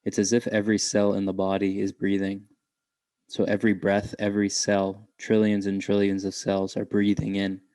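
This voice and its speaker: A man guiding a meditation in a gentle, unhurried way, leaving long pauses between short phrases. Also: lowish voice, monotone, dry recording. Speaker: meditation guide. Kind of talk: guided meditation.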